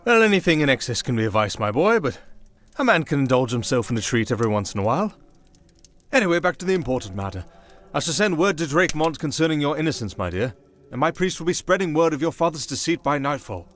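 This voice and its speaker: A man voicing a kindly, wry old high priest in a fantasy audio roleplay, with fatherly, ceremonious, unhurried phrasing. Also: sing-song, a bit bright. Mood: solemn, wry. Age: older.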